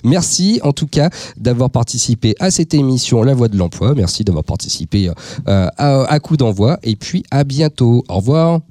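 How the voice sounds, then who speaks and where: A man working as a radio host in a conversational interview style. His voice sits at a medium pitch with a mellow, rounded tone, and the sound is dry. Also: lively intonation, normal speed, no echo.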